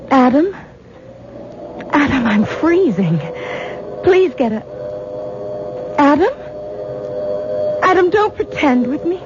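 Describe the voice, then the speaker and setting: An actress playing an anxious, distraught young wife in a 1970s radio drama. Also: female voice, high-pitched, sing-song, slow, long pauses, full character voice. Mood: pleading, alarmed. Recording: dull 1970s radio recording, noisy.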